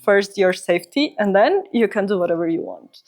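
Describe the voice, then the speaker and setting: A woman's voice: medium pitch, lively intonation, a little fast, darkish tone. A woman from Ecuador with an Ecuadorian Spanish accent, telling personal stories as an interview guest. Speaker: podcast guest, humanitarian psychologist.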